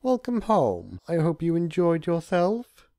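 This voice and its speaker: A man's voice: fairly high pitch, wildly swooping pitch, a little slow, mellow, dry recording, clean studio sound, pitched above the performer's own voice, exaggerated voice. A man voicing a smug, gloating, mischievous god of chaos in a cartoon fan story, with a theatrical, taunting delivery. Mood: falsely warm.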